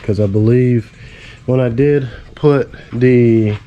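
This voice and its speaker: A man's voice: mid-range voice, fairly even intonation, slow, lo-fi sound, a little hiss or hum. Congested laid-back man in a garage. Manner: laid-back, rambling, casual swearing. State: congested from a head cold. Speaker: car builder. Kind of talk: casual build vlog.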